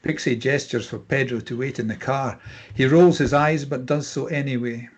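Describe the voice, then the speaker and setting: A man's voice: mid-range voice, fairly even intonation, moderate pace. A man reading stage directions for a screenplay as scripted narration.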